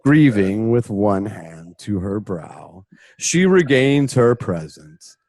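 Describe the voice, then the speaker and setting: A man's voice: lowish voice, very animated, slow, darkish tone, dry recording. A man narrating a radio play script in a loose, comic way, dropping wry, casual asides.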